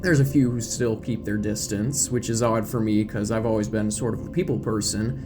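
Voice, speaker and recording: A man's voice: fairly low pitch, fairly even intonation, moderate pace, lightly acted. An affable, wronged man being interviewed on television as a male reader plays him in an audio drama. He is hesitant, folksy and wry. Music sits under the voice.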